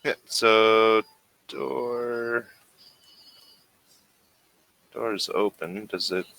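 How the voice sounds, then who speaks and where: A man's voice: fairly low pitch, drawn-out words, creaky, bright, forceful tone. A man acting as game master, talking casually through an online tabletop role-playing session.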